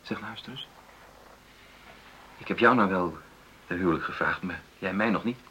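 An actor in a radio drama playing an earnest, conciliatory middle-aged husband who stammers and searches for words in a creaky voice. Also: male voice, low voice, moderate pace, sharp tone, a little hiss or hum, low-quality recording. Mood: coaxing, tender. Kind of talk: naturalistic radio drama dialogue.

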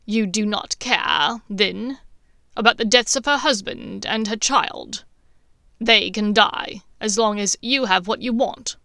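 A stern elderly headmaster weighs each word slowly and gravely, voiced by a woman reader. His tone stays cool and measured, with a close, dry sound. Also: medium pitch, sharp tone, no room sound. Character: stern old male headmaster wizard.

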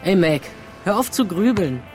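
Boastful young cyborg, very animated intonation, casual, radio drama, loud music. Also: male voice, sits high, moderate pace. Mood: cocky, brotherly. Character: Demo, boastful upbeat young man in cyborg body.

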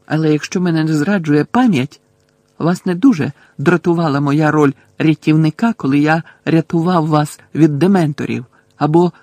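A man voicing an angry, impatient teenage boy wizard in an audiobook, snappish and loud. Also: a little high, normal speed, few pauses, slightly rough, no room sound. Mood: furious, urgent. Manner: shouting, snappish.

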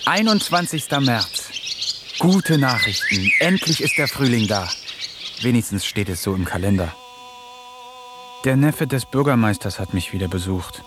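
A man's voice: medium pitch, lively intonation, normal speed, noisy background, natural voice. An actor playing an eccentric young poet who narrates his diary in a dry, ironic manner in a radio play. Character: eccentric young male poet, wants to talk with animals.

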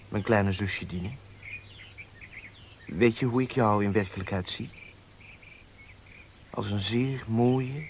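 An actor playing an insistent, amorous young man courting with flattering words in a radio drama. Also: medium pitch, lightly acted. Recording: noisy old radio play recording.

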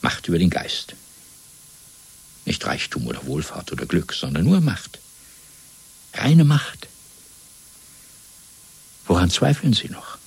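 Zealous party interrogator, low husky voice, measured lecturing, menacing, radio drama. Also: male voice, wildly swooping pitch, exaggerated voice, rough recording. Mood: cold, controlled, then fanatical fervour. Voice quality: gravelly, husky. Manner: measured, lecturing, swelling from hushed to fervent. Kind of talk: German radio play acting.

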